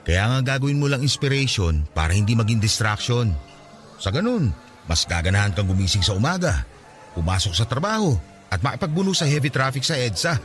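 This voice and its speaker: Actor as a lively young Filipino best friend. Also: male voice, mid-range voice, a little fast, faint music behind, lightly acted. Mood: encouraging. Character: Raj, cocky but caring young male best friend. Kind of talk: radio drama acting.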